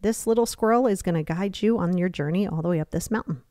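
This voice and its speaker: A woman leading a guided meditation, speaking in a soothing, gently conversational way. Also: lowish voice, fast, dry recording, studio quality.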